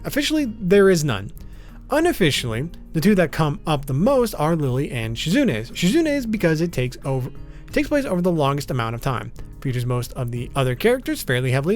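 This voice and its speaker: A man narrating informal scripted video commentary in a casual way, with wry asides. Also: a little high. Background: background music.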